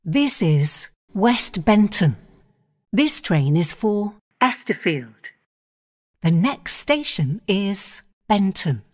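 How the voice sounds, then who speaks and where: Woman, onboard train announcer; slow, even delivery with a lively rise and fall, station-by-station phrasing. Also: medium pitch. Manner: measured, clipped station-by-station phrasing.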